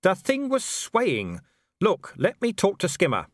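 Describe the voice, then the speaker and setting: A man voicing a gruff, exasperated middle-aged commander who talks bluntly and indignantly in an audiobook. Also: high-pitched, wildly swooping pitch, unhurried, no echo, clean studio sound, pitched above the performer's own voice, heavily acted. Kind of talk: audiobook character voice.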